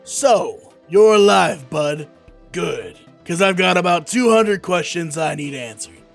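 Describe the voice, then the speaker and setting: A man's voice: high-pitched, lively intonation, slow pace, gravelly, full character voice. A man playing a blunt, streetwise, world-weary police detective in a comic book audio drama. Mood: brusque, sardonic. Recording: music behind the voice.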